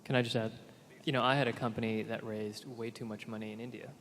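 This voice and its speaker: A man's voice: fairly low pitch, level tone, fast, a bit bright, slight background noise. Man telling an investing anecdote. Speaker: investor panelist. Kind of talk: panel discussion, anecdote. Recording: reverberant hall with faint ambience.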